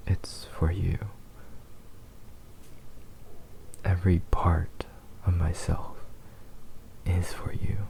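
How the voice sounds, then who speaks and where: ASMR roleplay: doting, possessive male fox demon, played by a man; dark mellow tone, very even, hushed and soothing. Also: low voice, full character voice, poor audio. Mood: devoted, possessive. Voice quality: breathy, whispery. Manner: slow, tender, soothing, halting.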